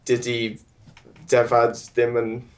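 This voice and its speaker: A young man talking on stage at a live panel event. He chats easily but halts a lot, with frequent ums and restarts in the middle of sentences. His voice sits at a middling pitch for a man. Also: completely flat delivery. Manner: chatty, halting, full of ums and restarts. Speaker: filmmaker guest.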